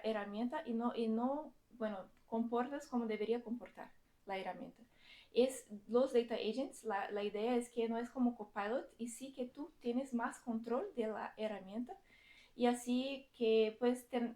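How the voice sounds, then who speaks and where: Brazilian woman presenting at a tech conference, kinda high voice, lots of ums and restarts, roomy hall sound. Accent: Brazilian Portuguese. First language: Portuguese. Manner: hesitant, many fillers and restarts. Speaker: data engineering team lead presenting at a conference. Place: lecture hall. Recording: echoey lecture room.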